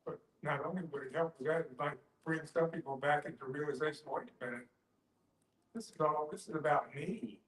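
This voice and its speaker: A man speaking reflectively in a group discussion, telling personal stories in a halting way as he thinks aloud. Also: a little high, fairly bright tone. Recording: echoey room.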